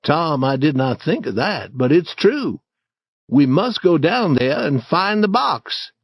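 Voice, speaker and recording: A man's voice: a little high, very animated, slow, husky, no room sound, lo-fi sound, pitched above the performer's own voice, heavily acted. A man doing a timid, stammering young boy. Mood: relieved. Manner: hesitant, nervous, stammering.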